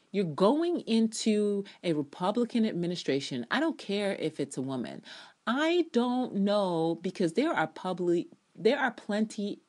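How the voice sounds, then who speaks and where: A woman with a low-pitched, very expressive voice reads her own review aloud as a dramatic piece. She is opinionated and sardonic, slipping from scripted lines into casual, salty asides with theatrical emphasis.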